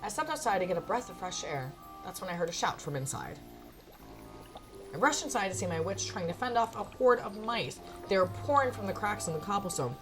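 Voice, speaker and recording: A woman's voice: medium pitch, natural voice. A woman voicing a witch's cat apprentice, a wry, self-deprecating narrator reading a visual novel expressively. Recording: faint music behind.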